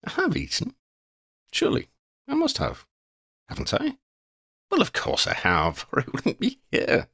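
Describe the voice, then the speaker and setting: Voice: medium pitch, huge pitch swings, measured pace, vocal fry, long pauses, a bit bright, slightly rough, dry recording, studio quality, voice pushed up, exaggerated voice. A man voicing a gangly, muddle-headed wandering vagabond in a storybook, with quaint old-fashioned phrasing and a rambling, easily distracted manner. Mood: puzzled.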